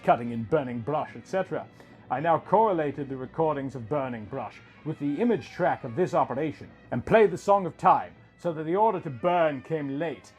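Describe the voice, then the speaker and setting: A man reading a scripted first-person story as a dramatic narrator, in a theatrical, deliberate manner. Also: on the high side, darkish tone.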